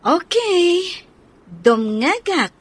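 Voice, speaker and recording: An Ilocano godmother in a radio play acted by a woman, friendly with a scheming side. She speaks slowly in a high voice that moves up and down with lots of life. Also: very animated, no echo, full character voice. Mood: curious, attentive. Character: friendly godmother, scheming confidante of a wronged wife. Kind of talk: Ilocano radio drama acting.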